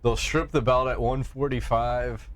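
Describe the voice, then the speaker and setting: A man's voice: mid-range voice, steady tone, unhurried. A man co-hosting a casual fight-sports podcast, loose and jokey, cursing freely and slipping into silly put-on accents. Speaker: podcast co-host.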